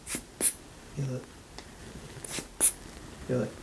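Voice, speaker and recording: A woman acting as a patient in an exam roleplay, giving quiet, subdued, very brief answers. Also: very slow. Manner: subdued, laconic. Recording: roomy, distant, very noisy pickup.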